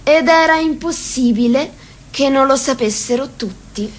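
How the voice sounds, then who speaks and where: A woman's voice: sits high, a little slow. A woman performing as a wry narrator in a stage drama, delivering scripted storytelling.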